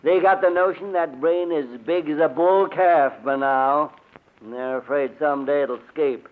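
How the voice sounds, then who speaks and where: A man's voice: a little high, moderate pace, natural voice. Man playing a folksy rural sheriff, tinny old radio. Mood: grave, gossiping. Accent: rural American. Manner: folksy, drawling, plain-spoken. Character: folksy small-town sheriff passing on local gossip. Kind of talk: 1950s radio drama acting. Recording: tinny, band-limited old radio recording.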